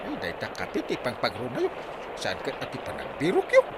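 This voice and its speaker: A man with an Ilocano accent acting as an earnest, excitable village captain in a comedy drama, speaking in animated exclamations. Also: medium pitch, fairly quick, background noise.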